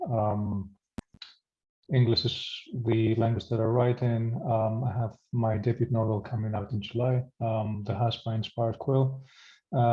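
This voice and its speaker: Mellow-voiced man from Greece, dull audio. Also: medium pitch. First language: Greek. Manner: thoughtful, measured, with frequent ums. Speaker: novelist, panelist. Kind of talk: online panel discussion.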